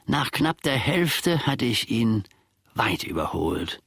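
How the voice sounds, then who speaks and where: Husky, creaky old manservant, grumbling slowly. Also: male voice, medium pitch, sing-song, a bit bright, dry recording, clean studio sound, full character voice. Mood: scornful. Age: older. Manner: grumbling, musing, theatrical. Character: disgruntled, garrulous old manservant of a philosopher. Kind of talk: radio drama monologue.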